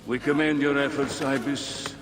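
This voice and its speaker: An actor playing a scheming royal scribe in a video game, a formal, deliberate and defiant villain. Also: male voice, medium pitch, fairly even intonation, close to an everyday voice. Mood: cold, formal. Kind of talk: dramatic game cutscene acting. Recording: long reverb, clear background noise.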